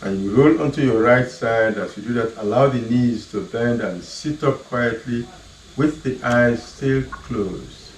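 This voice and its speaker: A man leading a guided relaxation, giving slow, soft, calm cues with long pauses between them. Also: mid-range voice. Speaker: meditation guide. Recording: roomy echo, voice far from the mic, faint ambient hum.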